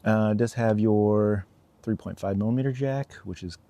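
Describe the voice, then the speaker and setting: Low-voiced man, slightly gravelly, casual reviewer. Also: normal speed, dark tone. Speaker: product reviewer.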